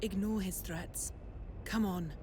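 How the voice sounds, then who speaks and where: A female actor plays a tough lieutenant in a science fiction war game. Her medium-pitched voice is forthright and soldierly. Clear vehicle noise runs behind her. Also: steady tone, takes it slowly, natural voice. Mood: urgent. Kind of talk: video game cutscene acting.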